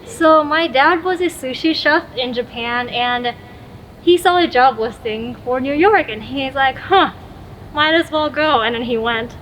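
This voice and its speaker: A woman's voice: high voice, measured pace, a bit bright, slight background noise, rough recording. A young woman from Florida, a guest in a casual conversation, chatty and giggly, sometimes tripping over her words.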